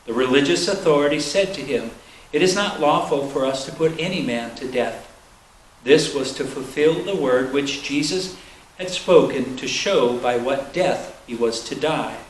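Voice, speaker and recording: A man's voice: mid-range voice, normal speed. Male scripture reader, solemn scripted delivery, echoey room with a faint noise underneath. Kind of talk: solemn scripted reading of a Bible narrative. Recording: roomy echo, faint background noise.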